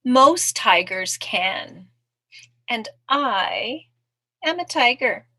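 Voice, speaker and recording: A woman's voice: on the high side, expressive, drawn-out words, sharp tone, pitched above the performer's own voice, full character voice. A woman voicing a small, defiant, boastful mouse who insists on being fierce, in a children's picture-book read-aloud. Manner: defiant, bragging, growls little grrrs.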